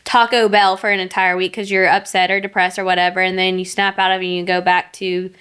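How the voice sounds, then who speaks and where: Female podcast host, clear smooth tone, frank and chatty. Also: lowish voice, little pitch movement, fast talker, fairly bright tone. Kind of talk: casual podcast chat.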